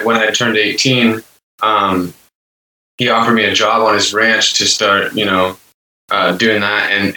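A man in his twenties with a low voice, a guest musician talking earnestly and rambling with lots of fillers and repeats. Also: bright, forceful tone. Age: 20s. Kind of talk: casual interview chat. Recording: echoey room, distant from the mic.

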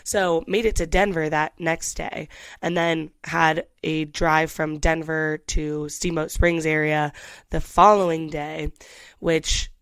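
A young woman in her twenties tells her story in a loose, candid way, often restarting her sentences and tossing in asides. Her voice sits on the low side for a woman. The sound is close and dry. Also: flat delivery, slow pace, clear, resonant tone, no echo. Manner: chatty, candid, with frequent restarts and "like". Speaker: vlogger. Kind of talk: casual storytelling to camera.

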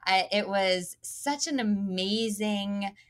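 A woman's voice: mid-range voice, slow pace, a bit bright. A woman from Connecticut in her thirties, a guest in a casual interview, talking in an upbeat way and laughing easily.

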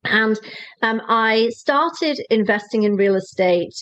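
A woman in her forties from Wales with a Welsh accent, chatting as a podcast guest in a relaxed, self-deprecating way with frequent fillers. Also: on the high side, slow pace, dry recording. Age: 40s. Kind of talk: conversational interview. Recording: slightly dull lo-fi dry sound.

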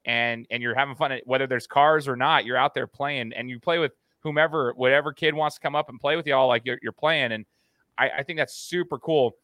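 A man's voice: medium pitch, sharp tone, no room sound. An American man hosting an interview podcast in a casual, chatty way, talking to the guest like a buddy. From United States. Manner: informal, buddy-like address. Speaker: podcast host.

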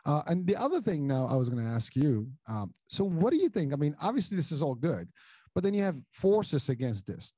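A man's voice: fairly high pitch, sing-song, a little fast, dark tone, breathy edge, no room sound. A middle-aged man from Morocco hosting a casual interview, talking in long rambling sentences full of restarts and repeated words. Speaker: podcast host.

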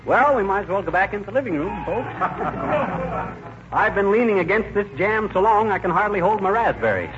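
An actor playing a brash, bumbling, wisecracking husband, folksy and teasing, in a 1940s radio comedy. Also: male voice, sits high, very animated, normal speed, over music. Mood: jovial. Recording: muffled, noisy 1940s radio recording.

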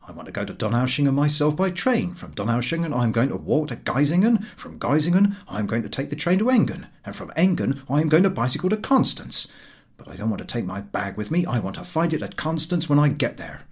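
A man voicing an angry, voluble British traveller in an audiobook, explaining rapidly and with exasperation. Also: medium pitch, very animated, breathy edge. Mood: exasperated, indignant.

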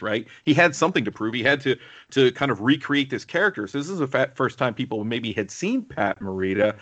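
A man's voice: medium pitch, a bit bright. A man co-hosting a casual film discussion, talking in a rambling, digressive way. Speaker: podcast co-host.

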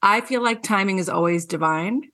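A woman's voice: mid-range voice, normal speed, fairly bright tone. Woman hosting a podcast, effusive and affirming. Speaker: podcast host. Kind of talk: scripted guest introduction, then casual interview chat.

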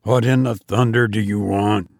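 A man's voice: fairly low pitch, fairly even intonation, moderate pace, a little mellow, dry recording, clean studio sound. A man voicing an irritable, sleepy father who grumbles at his son to leave him alone. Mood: grumpy. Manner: gruff, grumbling, impatient.

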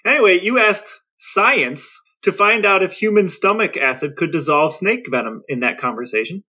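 A man co-hosting a podcast, sharing facts in a dry, deadpan way during banter. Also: high-pitched, normal speed, husky. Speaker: podcast co-host.

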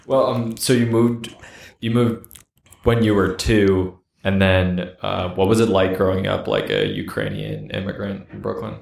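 A man asks questions in a relaxed, informal interview style. His voice sits a little low with a creaky, fried edge. The recording is roomy and echoey, with faint noise behind him. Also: a little slow. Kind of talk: casual conversational interview. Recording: roomy echo, noticeable noise.

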